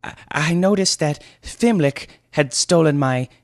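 A man's voice: a little high, measured pace, dry recording, clean studio sound, pitched above the performer's own voice, close to an everyday voice. A man voicing a flustered, stout young squire who pants and stammers. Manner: breathless, stammering.

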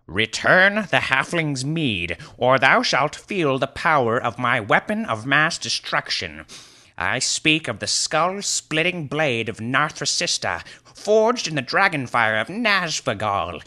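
A man's voice: medium pitch, very animated, takes it slowly, pushed and bright, voice pushed up, full character voice. A man voicing a pompous old bearded sorcerer who declaims in archaic speech.

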